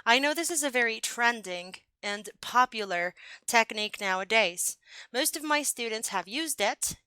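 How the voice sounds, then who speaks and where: Bright, upbeat American woman teaching English. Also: on the high side, no room sound, poor audio. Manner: clear, upbeat teaching delivery with emphatic modeling of phrases. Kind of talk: online English lesson.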